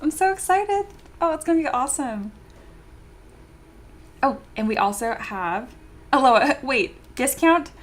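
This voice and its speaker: A young woman streaming live and chatting with viewers in a bubbly, excitable way, laughing often and reading chat messages aloud. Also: high voice, a little slow, long pauses. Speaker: streamer, 3D sculptor. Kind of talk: casual livestream chat with viewers.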